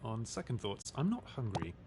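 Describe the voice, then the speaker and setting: A man's voice: on the low side, huge pitch swings, moderate pace, background noise. A man playing an eager, inquisitive pig detective in a video game. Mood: musing. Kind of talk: video game character acting.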